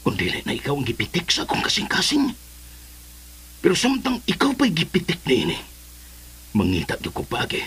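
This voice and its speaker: An actor with a Cebuano Filipino accent playing a lovesick, persistent suitor in a radio drama. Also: male voice, on the high side, huge pitch swings, a little fast, long pauses, fairly bright tone, slightly rough, exaggerated voice. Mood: stubborn longing.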